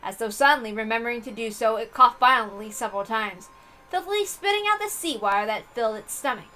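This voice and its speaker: A woman's voice: a little high, expressive, brisk, sharp tone, faint music behind. A woman reading a visual novel aloud as dramatic scripted narration.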